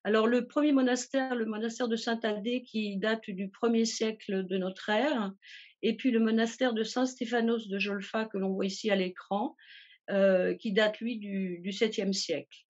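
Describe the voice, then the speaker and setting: A woman's voice: a little high, fairly even intonation, moderate pace, dull audio. A woman from a travel agency presenting a tour itinerary from slides, hesitating often with fillers and restarts.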